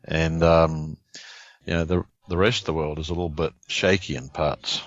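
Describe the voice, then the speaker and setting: A man's voice: extremely low pitch, little pitch movement, normal speed, dry recording. A man with a Texan accent co-hosting a podcast in casual chat, making wry asides with a chuckle. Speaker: podcast co-host. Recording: dry, dull lo-fi sound.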